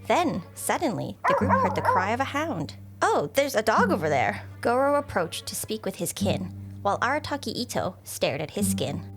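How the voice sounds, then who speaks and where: A woman narrating a rhyming storybook tale in a theatrical, expressive way. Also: a little high, normal speed. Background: music.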